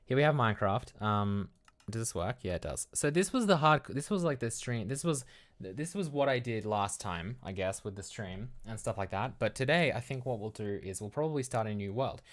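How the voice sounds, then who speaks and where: Australian man, slightly low pitch, casual livestream chat, rambling, thinking aloud. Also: lively intonation, a little fast. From Melbourne, Australia. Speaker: livestream host.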